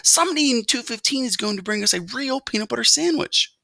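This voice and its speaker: A woman's voice: on the high side, expressive, fast talker, vocal fry, sharp tone, no room sound, pitched above the performer's own voice, full character voice. A woman voicing a plucky, boastful young boy mouse in a children's storybook, eager and defiant.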